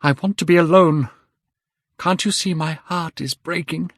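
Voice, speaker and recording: A man's voice: on the high side, fairly even intonation, unhurried, fairly bright tone, no room sound, pitched above the performer's own voice. A man voicing a proud, passionate young man who speaks coldly and bitterly, in a dry audiobook recording. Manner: cold and cutting, then bitter.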